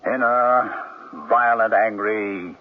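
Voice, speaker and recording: An actor playing a guilty, defensive novelist husband in a radio drama, speaking in a strained, halting, apologetic way. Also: male voice, lowish voice. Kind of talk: 1970s radio drama acting. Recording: tinny, narrow old radio broadcast with faint hiss.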